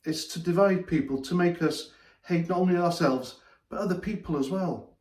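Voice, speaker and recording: A man's voice: sits high, moderate pace, a little mellow. A man with a Welsh accent, a native Welsh speaker, talking bluntly in a ranting opinion monologue. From Wales. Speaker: channel host. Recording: echoey room, distant mic.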